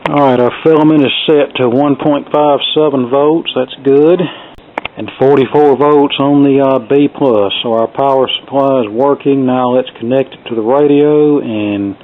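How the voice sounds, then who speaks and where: A man's voice: mid-range voice, fairly even intonation, normal speed. A man giving a casual, unscripted hands-on demonstration as a repair hobbyist, explaining things in a matter-of-fact, rambling way. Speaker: vintage radio repair hobbyist presenting a video. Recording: thin phone-like sound, clearly noisy.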